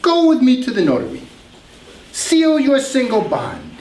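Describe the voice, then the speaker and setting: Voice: high-pitched, huge pitch swings, unhurried, a little mellow, slight background noise, heavily acted. An actor playing a sly, vengeful moneylender proposing a cruel bond, in stage verse. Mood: mocking. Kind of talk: stage acting of verse drama. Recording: echoey room from a distance.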